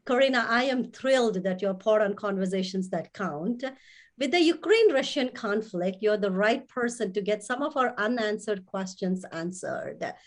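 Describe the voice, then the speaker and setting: A woman's voice: mid-range voice, animated, normal speed. A woman host giving a prepared welcome and introducing her guest over a video call, in a formal, scripted manner. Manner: formal, reads from prepared notes.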